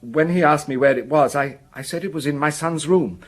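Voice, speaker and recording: An actor playing an anxious, defensive village schoolmaster in a radio drama, earnest and hesitant, stumbling over repeated words. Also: male voice, fairly high pitch, a little fast, close to an everyday voice. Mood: nervous, defensive.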